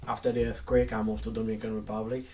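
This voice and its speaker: A man in his twenties from Haiti, a podcast guest who tells his story in a flat, matter-of-fact way. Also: lowish voice, rapid-fire, distant mic, crowd noise. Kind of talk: casual interview storytelling.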